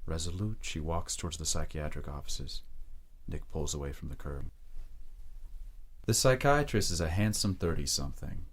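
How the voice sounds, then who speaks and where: A man narrating an audio drama as a grave, measured storyteller of dark romance. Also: extremely low pitch, lively intonation, heavily acted.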